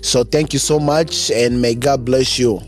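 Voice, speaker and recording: A man hosting a conversational interview, halting and repeating words as he phrases his questions. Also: medium pitch, steady tone, faint music behind. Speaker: podcast host, interviewer.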